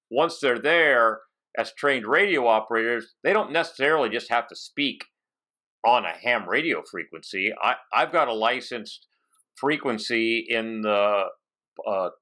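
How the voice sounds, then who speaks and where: A man in his fifties hosting a casual technical interview on a headset from a home office, haltingly thinking aloud. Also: mid-range voice, pushed and bright. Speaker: podcast host. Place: home office with bookshelves, on a video call.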